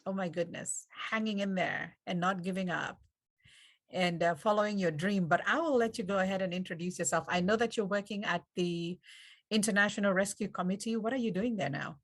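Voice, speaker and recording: A woman with a Kenyan accent hosting an online panel, speaking in an encouraging, unhurried way with fillers, over a video call. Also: medium pitch. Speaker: event host. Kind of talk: warm panel moderation.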